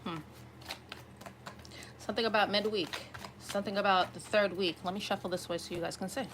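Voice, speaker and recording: A woman reads tarot for an online audience, speaking off the cuff at a middling pitch. Her voice has a slight rasp and she often checks in with her listeners. There is clear ambient noise behind her. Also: steady tone, brisk. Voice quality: slightly raspy. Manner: conversational, often checking in with "right?". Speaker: tarot reader hosting an online collective reading. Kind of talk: improvised tarot card reading addressed to viewers.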